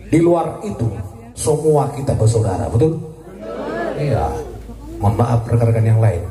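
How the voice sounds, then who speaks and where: A man, a military officer, giving a joking, lively speech at a community gathering. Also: mid-range voice, moderate pace. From Indonesia. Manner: jokey and lively, laughs at his own jokes. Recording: echoey, distant, crowd noise.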